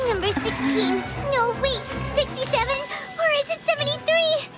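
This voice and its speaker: A flustered male soldier fumbles and second-guesses his orders. His voice rises very high and thin. Loud game music fills the background. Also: sing-song, normal speed. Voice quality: panicky, fumbling.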